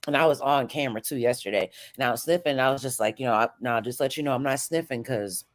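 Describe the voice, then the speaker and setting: A woman's voice: a bass voice, fast talker. A woman hosting a morning show, stuffy from a cold, chatting through the news and checking in with listeners. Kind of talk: casual news recap chat.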